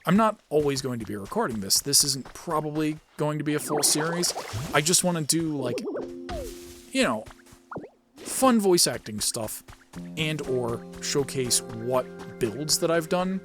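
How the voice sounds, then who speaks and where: A man's voice: on the high side, sing-song, a little slow, over music. A man doing casual gameplay commentary as a video host, rambling with self-aware asides. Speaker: gaming video host and amateur voice actor.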